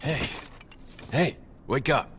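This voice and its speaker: A man's voice: medium pitch, expressive, takes it slowly, a bit bright, a little hiss or hum. A man with an American accent playing a cool, terse young government agent in a video game. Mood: wary, dry. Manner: terse, dry. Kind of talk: video game cutscene acting.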